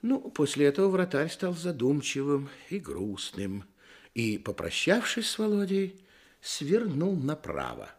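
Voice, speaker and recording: An actor narrating a radio play as a storyteller, telling a wry adventure tale. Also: male voice, on the high side, wildly swooping pitch, unhurried, slightly rough, exaggerated voice. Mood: wry, unhurried.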